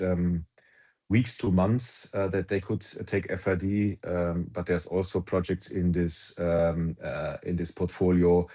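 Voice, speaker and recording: A man answering analyst questions on an earnings call, halting and full of ums and restarts. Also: deep voice, darkish tone, dull audio. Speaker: company executive answering analysts.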